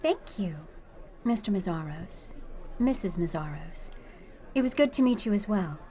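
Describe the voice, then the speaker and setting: Cunning cat burglar posing as a charming singer: mid-range, poised, coy, radio-drama delivery. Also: female voice, mid-range voice, expressive, unhurried, warm tone. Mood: polite, demure. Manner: coy, polished, knowing. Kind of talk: radio drama acting with first-person narration.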